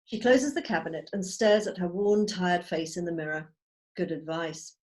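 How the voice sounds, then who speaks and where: A woman reading out screenplay stage directions as measured, storytelling narration. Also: lowish voice, darkish tone. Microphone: video call.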